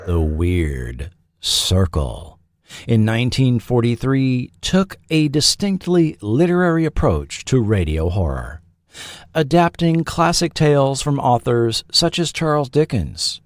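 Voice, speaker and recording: Male host-narrator for scripted documentary: dramatic, unhurried delivery, lively intonation, dry close sound. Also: mid-range voice, no room sound. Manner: dramatic, deliberate storytelling.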